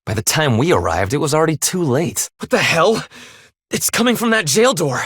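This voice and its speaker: A man's voice: fairly high pitch, very animated, normal speed, a bit bright, breathy edge, no room sound, clean studio sound, full character voice. A man voicing a stern, blunt young half-human leader in a video game, speaking in a clipped, formal, commanding way. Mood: grave, matter-of-fact, then sardonic, irritated. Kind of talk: English video game voice acting.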